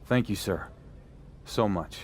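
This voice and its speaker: A man playing a young wrestler in a game cutscene, modest and respectful, with a low-key, deferential manner. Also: takes it slowly, husky. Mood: modest, guarded. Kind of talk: dramatic video game cutscene dialogue.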